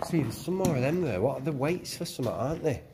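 A man's voice: mid-range voice, big pitch swings, fast talker. A young man with a head cold, chatting and pointing things out while exploring a railway tunnel. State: bunged up with a cold. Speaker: urban explorer. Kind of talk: casual chat while exploring. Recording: loud tunnel noise.

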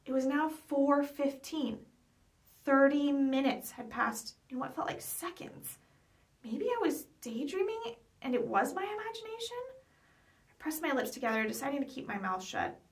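A woman's voice: sits high, animated, normal speed. A young adult woman reading a children's chapter book aloud expressively, with small stumbles over words. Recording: voice picked up from some distance.

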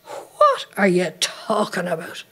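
A woman's voice: lowish voice, wildly swooping pitch, takes it slowly, creaky, sharp tone, breathy edge, heavily acted. A woman voicing a cantankerous old Irish woman in a story, indignant and scolding in an Irish accent.

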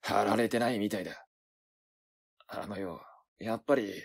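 A man as a low, husky, gruff accomplice. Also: moderate pace. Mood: subdued, resigned. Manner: terse, rough casual speech. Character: gruff, blunt young male accomplice. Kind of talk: Japanese voice drama acting.

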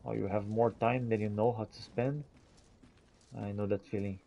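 Mellow-voiced man roleplaying in a fantasy game. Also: lowish voice, fairly even intonation, normal speed, gravelly, muffled recording, a little hiss or hum. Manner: theatrical archaic phrasing mixed with casual talk. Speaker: gamer roleplaying as the player character. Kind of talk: in-character roleplay commentary over fantasy game.

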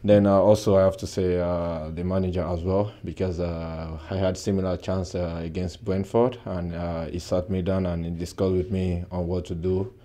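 A young man in his twenties, a footballer giving a soft-spoken post-match interview with many "uh" pauses, recorded indoors close to the mic. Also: sits low, level tone. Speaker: football player. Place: indoor press backdrop at a stadium.

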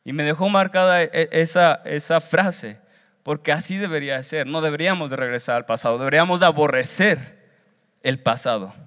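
A man's voice: on the high side, fairly quick, a bit bright. A young man preaching a sermon in an earnest, instructive way, repeating phrases for emphasis. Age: young adult. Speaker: seminary student preacher. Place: large church auditorium stage. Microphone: handheld wired microphone. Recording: reverberant hall through PA.

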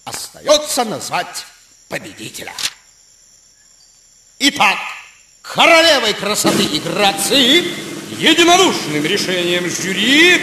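A man playing an excitable, grandiose cartoon animal announcer, pompous and drawn out like a showman. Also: sits high, slow, pauses often, background noise, exaggerated voice. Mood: grand, festive. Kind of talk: cartoon voice acting. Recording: roomy echo, music behind.

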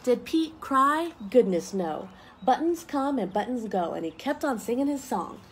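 High-voiced woman reading to children, noisy recording. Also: a little slow. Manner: animated, addressing young children with questions. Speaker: storyteller reading aloud to children. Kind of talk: picture book read-aloud with chatty asides. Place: outdoor wooden deck with trees around. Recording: noisy outdoor home video. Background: clear outdoor ambient noise.

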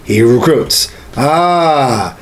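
A man in his thirties chatting informally as a podcast co-host, halting but emphatic, in a small room. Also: medium pitch, animated. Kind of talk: casual chat about games and films. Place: small home studio room, indoors.

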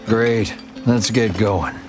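Aloof, low-voiced mercenary doctor. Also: male voice, slow pace, music in the background. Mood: dry, skeptical. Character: aloof, mercenary, fastidious Old West doctor-gunman. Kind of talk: video game acting.

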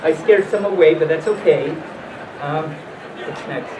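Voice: medium pitch, a little slow, crowd noise. Playful storyteller in a roomy, distant recording. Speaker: storytime host. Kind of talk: reading stories aloud to a live audience with casual asides. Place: hotel function room at a convention. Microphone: distant camera microphone, speaker in a full mascot costume. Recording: roomy, distant, noisy camera audio.

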